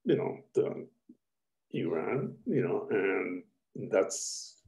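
A man on a video call asks long, winding questions and keeps restarting his sentences. His voice is low, dark and gravelly. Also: very animated, poor audio. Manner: halting, many restarts and fillers. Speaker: audience member asking questions. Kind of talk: asking questions in a seminar.

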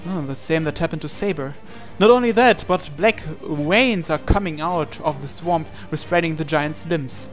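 A man reading a visual novel aloud as a dramatic narration, with deliberate pauses. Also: a little high. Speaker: gaming channel host. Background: game music.

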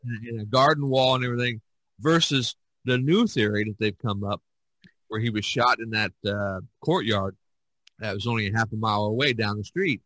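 A man hosting a podcast discussion, talking in an animated, emphatic way with many restarts. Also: fairly low pitch, brisk, no echo, poor audio. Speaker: podcast host. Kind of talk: casual discussion.

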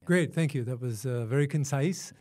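A man hosting an interview podcast, reading a scripted intro and then asking measured questions. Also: medium pitch, mellow. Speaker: podcast host.

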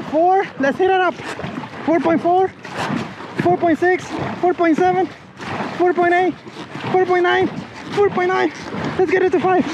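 A man's voice: very high-pitched, muffled recording. A man hosting an outdoor vlog, enthusiastically demonstrating a paddleboard kayak and calling out his speed as he paddles. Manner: enthusiastic, calls out speeds aloud. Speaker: vlogger reviewing a paddleboard kayak. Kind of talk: casual outdoor demo vlog. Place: outdoors on shallow coastal water. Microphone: action camera on board and shore. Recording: outdoor wind and water noise.

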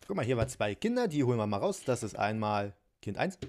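A man's voice: lowish voice, sing-song, fast talker, background noise. A man chatting casually and giggling often while he unpacks and builds a toy set at a table at home, poking fun at himself. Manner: chatty, giggly, self-mocking. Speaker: toy unboxing vlogger. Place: home tabletop filmed from above.

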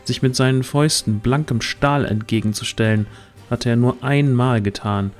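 A man's voice: mid-range voice, moderate pace, soft background music. A man narrating a scripted fantasy audio drama in a literary storytelling manner.

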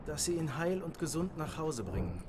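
A man's voice: a little high, sing-song, normal speed, noisy background. A man voicing a chatty, wry male fellow prisoner who talks in an amused, easygoing way. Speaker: fellow captive. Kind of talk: German game dub.

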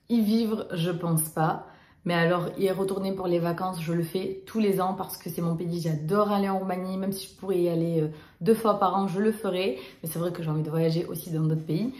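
A young woman from Romania talks to the camera in a casual storytime vlog, her voice sitting a little low. She chats along with plenty of fillers and restarts, and the small room around her rings with echo. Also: darkish tone. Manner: chatty, many fillers and restarts. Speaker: vlogger.

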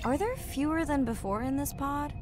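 A woman's voice: high-pitched, lively intonation, moderate pace. Eager woman ocean diver, music behind. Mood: excited, urgent. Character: warm, eager woman marine scientist and diver. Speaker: voice actor. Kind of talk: video game dialogue, natural acting.

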